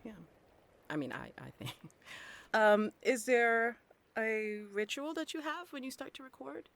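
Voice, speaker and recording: A woman's voice: on the high side, animated, unhurried, a bit bright. Bubbly, giggly young woman host. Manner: bubbly, giggly, reads out listener questions. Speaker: interviewer, host. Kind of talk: casual interview chat.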